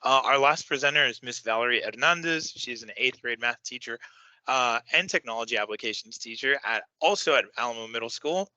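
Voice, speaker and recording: A man with a very bright, forward voice hosts a teacher training session over a video call. He sounds upbeat and encouraging. The sound is dry and close. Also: medium pitch, fairly quick, dry recording, lo-fi sound. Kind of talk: moderating an online training session.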